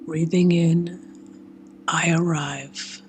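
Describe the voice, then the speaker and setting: A woman leading a guided meditation in a soft, gentle, sometimes breathy voice, speaking in short phrases with long pauses. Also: on the low side, fairly even intonation, noisy background. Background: meditation music.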